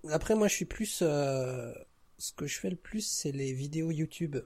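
A man's voice: a little high, expressive, normal speed, darkish tone. A man talking casually as a podcast guest, hesitating as he searches for words. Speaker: podcast guest, audio fiction creator. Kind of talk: casual one-on-one chat.

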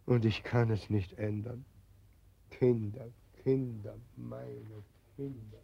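A weeping old forgotten god. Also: male voice, mid-range voice, flat delivery, slow, gravelly, breathy edge, full character voice. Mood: grieving. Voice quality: tearful, lamenting. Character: weeping old God whom no one believes in. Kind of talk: 1940s radio drama acting. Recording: dull lo-fi 1940s radio recording.

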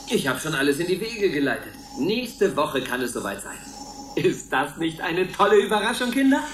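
An actor playing a gushing, patronizing caretaker of a space colony in a satirical science fiction radio play. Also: male voice, fairly high pitch, fairly bright tone, echoey room, slight background noise. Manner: effusive, patronizing.

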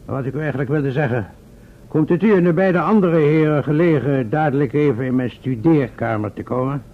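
An actor playing a pompous, wordy town mayor in a radio play, speaking formally. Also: male voice, fairly high pitch, brisk, lightly acted. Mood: genial, formal. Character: pompous, self-pitying, hesitant town mayor. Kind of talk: old Dutch radio play acting. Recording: muffled, noisy old radio recording.

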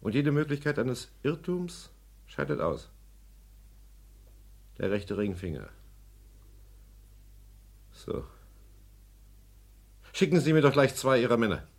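Stern police detective, husky voice, formal, measured, regretful, lively intonation, crime radio drama. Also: male voice, medium pitch, heavily acted. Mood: matter-of-fact. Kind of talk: German crime radio play acting. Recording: husky-sounding vintage radio play recording.

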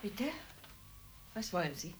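An actress playing a defensive, placating but stubborn middle-class wife in a radio play. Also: female voice, lowish voice, a little slow, a little hiss or hum, close to an everyday voice. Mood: helpful, polite.

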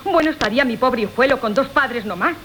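Una actriz de acento castellano interpreta a una madre astuta y coqueta que engatusa a sus amantes, en una comedia clásica radiofónica, con tono zalamero y teatral. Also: female voice, high voice, lively intonation, brisk, a bit bright. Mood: sly, scheming. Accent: Castilian Spanish. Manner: wheedling, theatrical. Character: wily, coquettish mother conning several lovers for money. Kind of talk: classic Spanish comic radio theatre. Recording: hissy 1980 radio drama recording.

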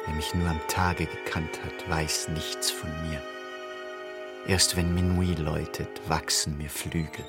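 A man's voice: very deep voice, flat delivery, unhurried. An actor playing a despairing, confused king who pleads with God in a theatrical radio play monologue. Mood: brooding, melancholy. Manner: theatrical, swinging between whispers and outcries. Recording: music behind the voice. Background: music.

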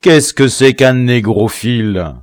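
A man's voice: mid-range voice, takes it slowly, no room sound, studio quality, voice pushed up, close to an everyday voice. A man voicing an imperious rebel general in an audiobook, haughty and severe, cruelly toying with his captives. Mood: stern, scornful. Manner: haughty, severe, impatient, emphatic.

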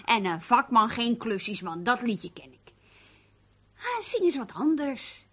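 A middle-aged housewife in a radio drama, practical and exasperated. Her fairly high, husky voice swings up and down as she needles and reproaches in colloquial speech. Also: big pitch swings, unhurried, bright, forceful tone, full character voice. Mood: nagging, reproachful. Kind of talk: naturalistic Dutch radio play acting.

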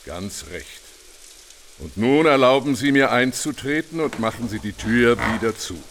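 An actor in a German radio play playing a calm, insistent holy beggar, speaking tersely and matter-of-factly. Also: male voice, mid-range voice, measured pace, lightly acted. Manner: terse, unruffled, matter-of-fact. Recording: faintly noisy radio drama recording.

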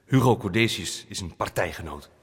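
A man's voice: low-pitched, lively intonation, a little fast, vocal fry, husky, heavily acted. A man playing a flustered, placating senior politician in a Dutch radio drama, formal and hesitant, repeating himself in an echoing hall. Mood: formal, evasive. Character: smooth-talking, flustered senior male politician. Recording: long reverb of a large hall.

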